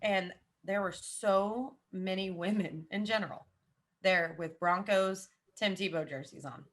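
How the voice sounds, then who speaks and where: A woman in her thirties with a Southern American accent, a podcast guest chatting casually and giving animated, opinionated takes with lots of asides and repeated emphasis. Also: mid-range voice, unhurried.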